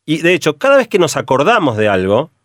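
A middle-aged man with an Argentine Spanish accent, a radio columnist explaining ideas through a steady run of examples. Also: on the high side, fast talker, no echo, clean studio sound.

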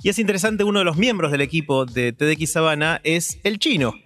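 A man with an Argentine Spanish accent, a radio columnist, telling a story in a lively, casual way and often filling pauses with "eh". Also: on the high side. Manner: storytelling, animated, with filler "eh".

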